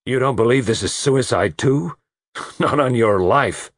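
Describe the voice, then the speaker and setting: Man acting a grim, agitated, husky man. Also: mid-range voice, lively intonation, slow, no echo, studio quality, voice pushed up. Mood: incredulous. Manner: heavy, thick, muttering or accusing. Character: grim, agitated, vengeful wealthy older man.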